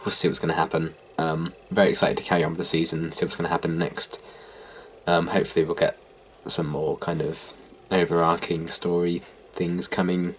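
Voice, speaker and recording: Congested English man with a deep voice. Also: brisk, a little hiss or hum, rough recording. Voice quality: hoarse from a sore throat. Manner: rambling, lots of ums, mildly flat delivery. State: nasal, blocked-up head cold. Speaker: reaction video host. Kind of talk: casual chat to camera.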